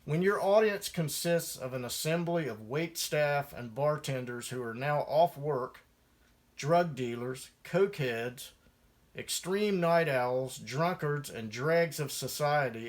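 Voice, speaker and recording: A man's voice: a little high, measured pace. A man reading his own first-person memoir aloud as a musician, in a wry storytelling manner.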